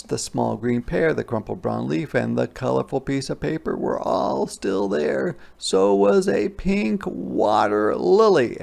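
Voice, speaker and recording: A man reading a storybook aloud as a teacher, expressively, pausing to explain words simply for children. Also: mid-range voice, gravelly, darkish tone.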